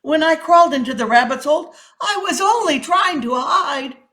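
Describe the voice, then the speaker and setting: A woman voicing an indignant male python in a storybook read-aloud, loudly protesting and making excuses. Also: high voice, normal speed, pitched above the performer's own voice. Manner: loud, protesting.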